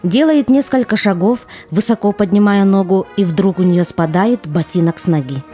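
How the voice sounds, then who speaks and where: A woman's voice: medium pitch, normal speed, warm tone, lightly acted. A woman narrating a war audio play in a descriptive storytelling manner from a script. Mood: narrative. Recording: music under the voice.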